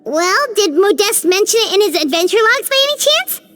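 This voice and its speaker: This is a tiny floating companion creature, with a very high, squeaky voice that bounces all over the place. She is chatty and excitable and keeps exclaiming. Faint game music plays underneath. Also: fairly quick, heavily acted. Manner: squeaky, exclamatory, talks about herself by name. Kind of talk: video game voice acting.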